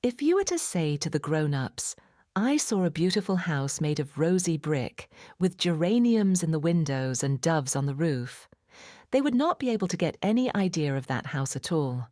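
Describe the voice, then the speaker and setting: A woman narrating a children's storybook in a measured, clearly phrased, expressive bedtime-story manner. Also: low-pitched, dry recording, clean studio sound. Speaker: audiobook narrator.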